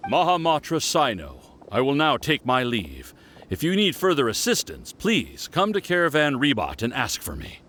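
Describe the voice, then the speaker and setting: Lively-toned, resolute military captain. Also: male voice, medium pitch, moderate pace, a little hiss or hum. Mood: dutiful. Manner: formal, firm. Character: dutiful, resolute male military squad captain. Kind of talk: video game voice acting.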